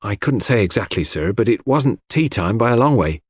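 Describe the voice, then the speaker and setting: A man's voice: fairly low pitch, expressive, normal speed, breathy edge, no echo, studio quality. A man voicing an old, loyal English housemaid who is deferential, old-fashioned and easily indignant, in an audiobook mystery. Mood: deferential, confiding.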